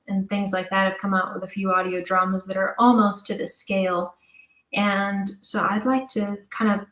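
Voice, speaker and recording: A woman's voice: medium pitch, a bit bright. Woman in an echoey room, chatting casually. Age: young adult. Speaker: interview guest, audio drama writer and producer. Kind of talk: casual interview talk. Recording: roomy, echoey sound.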